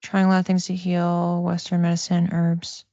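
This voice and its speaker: A dry, slightly dull lo-fi recording of a woman with a lowish voice. She speaks casually as a psychic reader, improvising and thinking aloud, often pausing and hedging as she goes. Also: monotone, warm tone, dry recording. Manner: tentative, conversational, thinking aloud with pauses. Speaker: psychic reader giving live online readings. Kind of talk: casual live reading, improvised explanation.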